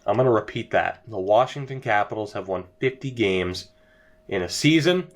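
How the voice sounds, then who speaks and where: A young man giving jokey, excitable commentary over a hockey video game, his voice worn as he loses it. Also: lowish voice, moderate pace. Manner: excitable, jokey, laughs often. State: losing his voice. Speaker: gaming YouTuber. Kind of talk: casual gameplay commentary.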